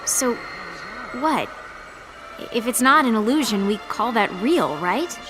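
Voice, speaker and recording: A woman's voice: a little high, very animated, slow, rough recording. An actress playing a blunt, sarcastic young woman in a video game audio drama. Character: sassy sharp-tongued young woman. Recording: game drama with music underneath.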